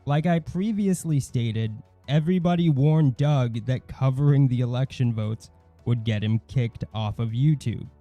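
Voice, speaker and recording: A man with a very dark, mellow voice delivers scripted online commentary. His delivery is snide and mocking, and the sound is dry and close. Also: mid-range voice, unhurried, no room sound, soft background music. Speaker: YouTube channel host.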